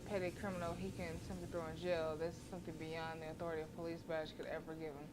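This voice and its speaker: A woman livestreaming a horror visual novel, reading the game text aloud casually, stumbling and correcting herself as she goes. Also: on the low side. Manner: casual, stumbles over words and self-corrects. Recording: noisy livestream microphone.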